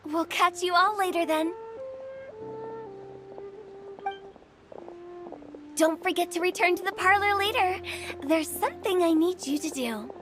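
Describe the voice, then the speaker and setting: A woman's voice: very high voice, moderate pace, music in the background. A woman playing a cheeky, playful teenage girl in a video game, with a bouncy, theatrical, teasing manner. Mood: breezy. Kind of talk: video game cutscene acting.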